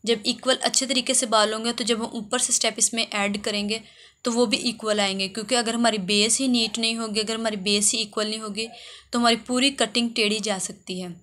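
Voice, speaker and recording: A woman's voice: on the high side, steady tone, fairly quick, bright, forceful tone. A woman hairdresser narrating a how-to video, explaining step by step and often repeating herself. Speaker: hairdresser giving a tutorial. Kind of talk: instructional voice-over for a how-to video.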